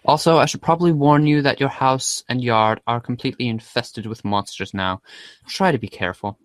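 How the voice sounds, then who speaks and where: Man reading as a cool, deadpan teenage girl. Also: mid-range voice, brisk, no echo, lightly acted. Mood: dry, instructive. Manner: deadpan, articulate, unimpressed. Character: cool, dry, bossy teenage girl guiding her friend.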